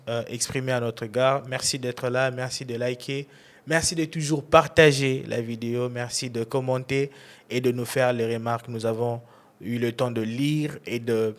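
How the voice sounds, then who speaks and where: A man hosting an online interview show, talking in an animated way and repeating words for emphasis. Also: medium pitch, moderate pace. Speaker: interview host.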